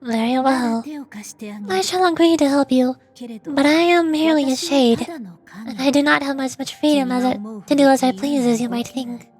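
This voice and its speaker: A woman voicing a stern female spirit who rules over death, measured and ominous, in fantasy game dialogue. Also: sits high, a little mellow, close to an everyday voice. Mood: guarded. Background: music.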